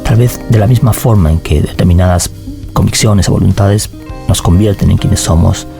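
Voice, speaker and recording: A man, a novelist answering interview questions in a measured, thoughtful way with many fillers. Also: low-pitched, gravelly, music in the background. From Argentina. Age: 40s. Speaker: novelist guest.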